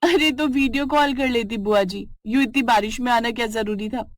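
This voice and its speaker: A woman's voice: a little high, moderate pace, no echo, studio quality, lightly acted. A woman voicing a polite but frazzled young daughter-in-law who hosts pushy relatives. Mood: flustered. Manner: polite, flustered.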